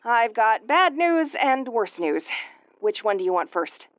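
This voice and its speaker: Woman acting high-voiced, sardonic tomboy starship commander. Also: big pitch swings, unhurried, no echo, highs cut off. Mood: stunned, rueful. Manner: sarcastic, sweary, stammering when rattled. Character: sardonic, flustered tomboyish young female starship commander, sweary, secretly tender. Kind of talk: audio roleplay voice acting.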